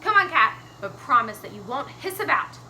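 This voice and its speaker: Storybook grandpa boatman, loud, agreeable but firm, performed by a woman. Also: on the high side, very animated, normal speed, slight background noise, exaggerated voice, poor audio.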